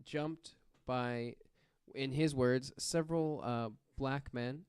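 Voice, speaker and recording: Clear-voiced man telling a story casually. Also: medium pitch, steady tone, a little mellow, no room sound, studio quality. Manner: halting, conversational, joking asides. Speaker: podcast guest storyteller.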